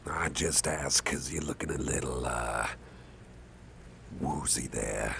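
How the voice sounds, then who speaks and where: A man playing a caring, affable boss in an ASMR roleplay, talking softly in a gentle, hesitant, chatty way with a gravelly voice. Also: takes it slowly, full character voice. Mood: friendly, chatty. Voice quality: deep, gravelly, breathy at times. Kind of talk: ASMR roleplay, soft close personal talk. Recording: faint background noise.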